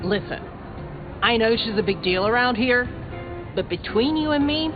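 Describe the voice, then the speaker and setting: A woman's voice: on the high side, animated, normal speed, close to an everyday voice. An actress playing a brash, sarcastic young female vampire in a video game, a scrappy small-town newcomer who talks in slangy, sardonic, sweary bursts. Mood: gossipy. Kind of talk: video game character dialogue. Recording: dull game audio under clear music.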